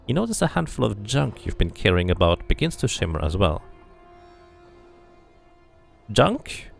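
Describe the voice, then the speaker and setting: A man's voice: fairly low pitch, sing-song, a little fast, vocal fry, faint music behind. A man doing casual playthrough commentary, musing to himself and reading game text aloud. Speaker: video game streamer.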